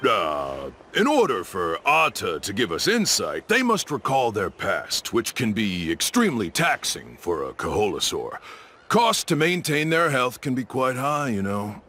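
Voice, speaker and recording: A man's voice: lowish voice, huge pitch swings, a little slow, pushed and bright, a little hiss or hum, exaggerated voice. Slick, swooping male game huckster. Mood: slick, salesy. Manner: theatrical, salesman-like. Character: shady male tourist-scamming attendant, slick and self-important. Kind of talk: video game character dialogue. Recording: music behind the dialogue. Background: game music.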